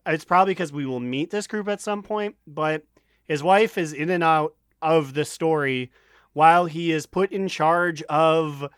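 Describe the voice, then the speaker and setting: A man's voice: a little high, unhurried, fairly bright tone, clear, resonant tone, no room sound. A man co-hosting a podcast, chatting casually in a joking, crude, loose way. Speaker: podcast co-host.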